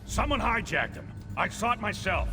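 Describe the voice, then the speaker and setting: An exasperated spymaster in a video game, arguing hard. The voice is gravelly and fairly high, swinging widely in pitch, with a long reverb. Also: male voice, sing-song, moderate pace, exaggerated voice. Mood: exasperated, insistent. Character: insistent, exasperated middle-aged male spymaster pleading his case. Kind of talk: video game cutscene acting. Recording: long reverb, music behind.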